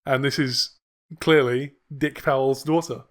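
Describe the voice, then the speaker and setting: A young man in casual chat on a podcast, recapping episodes in a loose, rambling way. He swears freely and breaks into laughter often, with a voice of ordinary middle pitch. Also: steady tone, unhurried. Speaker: podcast co-host.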